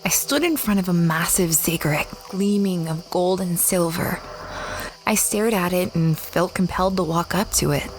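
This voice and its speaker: A woman's voice: lowish voice, moderate pace, background noise, natural voice. A woman playing a dreamy space explorer who recounts her adventures in a reflective, conversational way, as audio drama narration. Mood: awed, reflective.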